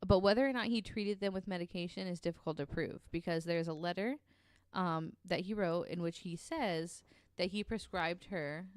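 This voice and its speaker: A woman's voice: fairly low pitch, normal speed, clean tone, no echo, clean studio sound. A woman co-hosting a podcast, casually telling a true story with lots of ums and likes. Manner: chatty, many ums and likes, laughs easily.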